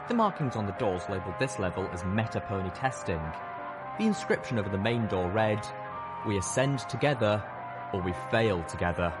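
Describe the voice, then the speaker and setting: Low-pitched man narrating dramatically. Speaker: audiobook narrator.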